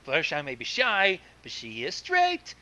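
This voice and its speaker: A man giving casual, informal commentary on a story, in a halting way. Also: on the high side, huge pitch swings, pushed and bright. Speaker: co-commentator on a fan fiction reading. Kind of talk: casual reaction chat.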